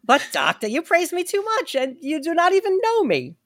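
A demure young wife speaks with gentle courtesy, as a woman reads her lines. The voice moves a lot in pitch. It sounds dry and close. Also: huge pitch swings, normal speed, dry recording, exaggerated voice. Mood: polite.

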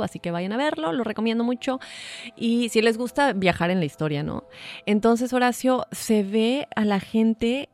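A woman, co-hosting a casual chat and telling a story with many fillers. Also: medium pitch. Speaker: podcast co-host.

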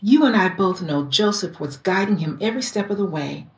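An actress playing a seductive, scheming rich wife in a theatrical, coaxing audio drama style. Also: female voice, mid-range voice, lively intonation, a little fast, a little hiss or hum, lightly acted. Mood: coaxing, sweet. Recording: roomy echo, faint music behind.

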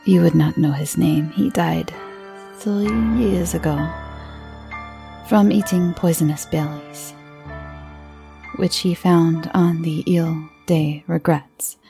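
A timid old country priest who speaks slowly and drops to a near whisper, uneasy and reluctant, as a woman reads him. His voice is low and gravelly, with soft music underneath. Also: exaggerated voice. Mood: hushed uneasy. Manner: hushed, whispering, slow and hesitant. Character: timid elderly male village priest, uneasy.

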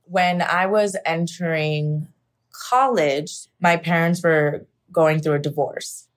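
Young woman, lowish pitch, candid, reflective, casual fillers. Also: slow. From United States. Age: young adult. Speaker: actor sharing her story. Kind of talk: personal interview monologue.

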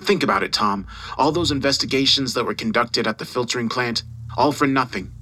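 A man acting as a domineering newspaper boss in an audio drama. He is forceful and condescending, with a bright, hard-edged delivery that mocks and bullies his employee. Faint noise sits behind the voice. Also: medium pitch, brisk, lightly acted. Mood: intense, persuasive. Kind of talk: dramatised audio story dialogue.

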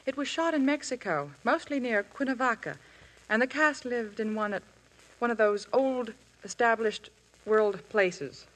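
Young actress reading scripted chat, polite and earnest, slightly high voice. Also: female voice, lively intonation, measured pace, a bit bright, low-quality recording.

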